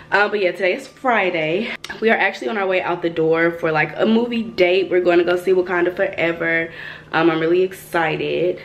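Laid-back woman vlogger, slightly lower voice, a bit nasal from a cold, faint hum behind, camera held at arm's length. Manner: chatty, laid-back, laughs often. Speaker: lifestyle vlogger. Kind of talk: casual vlog chat to camera. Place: home, then outdoors at a cinema plaza. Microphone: handheld camera at arm's length.

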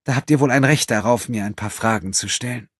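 Ein Mann, der in einem Hörbuch eine verängstigte, flehende junge Frau spricht, die stockend und stammelnd redet. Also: male voice, medium pitch, husky, dry recording, studio quality, voice pushed up, close to an everyday voice. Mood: apologetic, pleading. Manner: stammering, halting. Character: frightened, pleading young woman, stammering and defensive. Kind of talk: audiobook character acting.

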